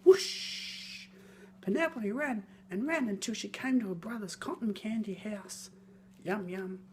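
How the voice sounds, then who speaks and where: A woman reading a scripted children's story in a theatrical, expressive way, lingering on words. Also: mid-range voice, unhurried, slight background noise.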